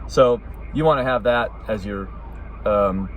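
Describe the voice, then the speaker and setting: A man's voice: lowish voice, measured pace, a little hiss or hum, low-quality recording. An American man giving a casual, rambling explainer in an informal, conversational way, like a hobbyist presenting a video.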